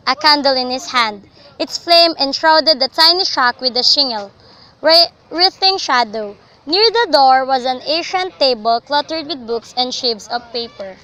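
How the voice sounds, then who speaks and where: A woman's voice: sits high, pushed and bright, rough recording. A young woman narrating a scripted story for a radio drama.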